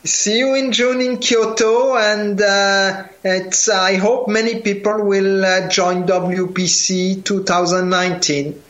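A man's voice: sits high, level tone, slow, hardly any pauses, a bit bright. A man with a French accent, speaking as a scientist guest in an interview, hesitating with many fillers and repeated words. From France. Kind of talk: remote interview, casual. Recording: echoey, dull lo-fi remote audio.

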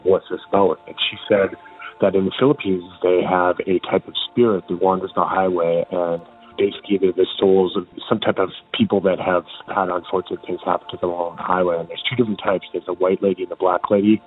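A man's voice: low-pitched, little pitch movement, quick pace, breathy edge, soft background music. A man from Canada leaving a voicemail for a podcast, telling a personal story casually and with many fillers. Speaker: caller. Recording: tinny phone line.

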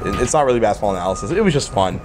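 A man's voice: fairly low pitch, very animated, racing, music in the background. A man hosting a casual basketball discussion in an enthusiastic, playful, conversational way. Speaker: sports radio and podcast host. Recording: clearly noisy urban background ambience.

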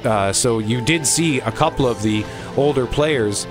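Measured male radio-show co-host, mid pitch. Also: over music. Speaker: co-host of an entertainment review show. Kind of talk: casual review chat with presenter-like links.

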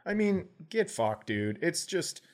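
A man's voice: fairly high pitch, very animated, slow, darkish tone. A man telling stories in a casual comedic way as a podcast host, sarcastic and swearing freely.

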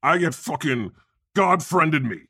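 A man's voice: on the high side, expressive, measured pace, pushed and bright, breathy edge, dry recording, studio quality, exaggerated voice. A man playing God as a jealous, flippant podcast host, joking and swearing in a comedic interview. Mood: mock jealous. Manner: joking, sweary, mock-aggrieved.

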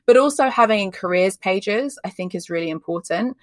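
A woman's voice: medium pitch, expressive, moderate pace, no echo. A woman from Australia who speaks with an English accent, a guest expert giving emphatic, chatty interview answers full of "like" fillers. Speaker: guest, personal branding expert. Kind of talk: candid conversational interview answers.

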